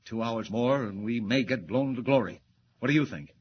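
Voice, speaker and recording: An actor as a gruff old-time newspaper editor. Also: male voice, medium pitch, normal speed, noisy background, close to an everyday voice. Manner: brusque, barking orders, worried. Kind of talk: 1940s radio acting. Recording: dull lo-fi old radio broadcast.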